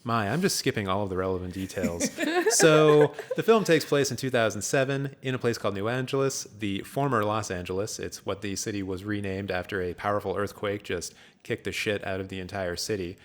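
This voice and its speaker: A man with a fairly low voice talks casually as a podcast host. He rambles through his storytelling with a joking, improvised feel, as if recapping something for friends. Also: fairly quick, few pauses. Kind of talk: casual film recap chat.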